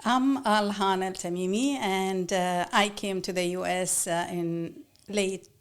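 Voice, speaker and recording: A woman from Iraq, telling her story as a podcast guest in a halting, soft-spoken way with many fillers. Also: mid-range voice, fairly even intonation, smooth. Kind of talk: personal story in an interview.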